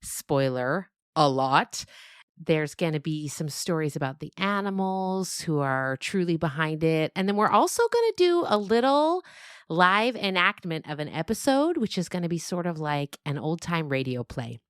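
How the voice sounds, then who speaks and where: A woman's voice: deep voice, lively intonation, moderate pace, clear, resonant tone, no echo, clean studio sound. A woman hosting a podcast, speaking casually to her listeners as she gives announcements. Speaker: podcast host and creator.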